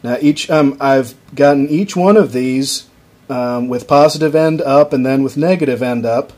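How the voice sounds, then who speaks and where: A young man explaining a guitar circuit on his workbench, casual and halting, with frequent ums and restarts, recorded on a camera mic. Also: medium pitch, a little mellow. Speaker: electronics hobbyist demonstrating a circuit. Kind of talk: casual off-the-cuff tutorial. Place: workbench at home, indoors.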